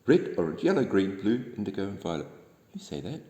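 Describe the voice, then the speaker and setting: Kiwi guy reading a bedtime story, lowish gentle voice, super expressive, echoey. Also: fairly quick. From New Zealand. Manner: gentle, expressive, addressing young listeners. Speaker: storybook reader. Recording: echoey room with long reverb.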